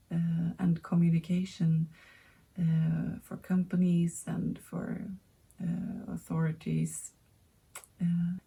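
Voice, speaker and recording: Slow, gravelly-voiced Swedish woman. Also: fairly low pitch, mellow. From Sweden. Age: 30s. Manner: hesitant, many pauses and fillers. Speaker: knitting podcast host. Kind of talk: casual chat to camera.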